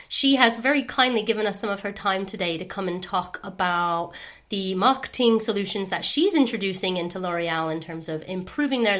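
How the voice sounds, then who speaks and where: A woman's voice: mid-range voice, a bit bright. A woman from the United States with a mixed American and Irish-sounding accent, hosting a podcast interview with an enthusiastic, bubbly manner. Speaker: podcast host.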